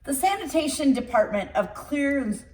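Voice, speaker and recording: A woman reading a picture book aloud to children in an expressive storytime style, with playful asides to her young listeners. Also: high voice, normal speed. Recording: roomy echo, distant microphone.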